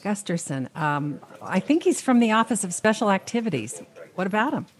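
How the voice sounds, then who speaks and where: Woman acting as earnest, animated government agent. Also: mid-range voice, normal speed, close to an everyday voice. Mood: uneasy. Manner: earnest, protesting. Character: earnest, cautious female government agent, worried and objecting. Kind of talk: comedic radio play acting.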